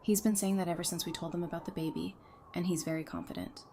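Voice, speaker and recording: A woman's voice: lowish voice, lively intonation, fast, mellow, slight background noise. A woman playing a stubborn, affectionate young mother and wife in an audio drama, casual and wry. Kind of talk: fan audio drama acting.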